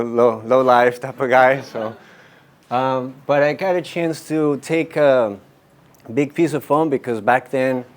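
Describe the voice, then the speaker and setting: A man in his forties, originally from Colombia, giving a casual talk to an audience about surfboard shaping. He rambles loosely and leans on fillers. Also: medium pitch. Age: 40s.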